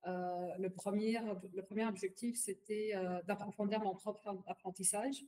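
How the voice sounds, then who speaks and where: Mellow-voiced woman, halting and chatty. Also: medium pitch, flat delivery. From Palestine. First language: Arabic. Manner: halting, many fillers, mixes in English phrases. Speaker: podcast guest. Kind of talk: casual interview chat.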